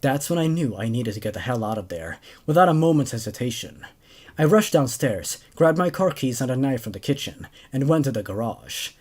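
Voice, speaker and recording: A man in his twenties with an expressive, emphatic way of talking, hosting horror stories. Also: mid-range voice, fairly quick. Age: 20s. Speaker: host reading horror stories. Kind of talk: dramatic story narration, then casual commentary.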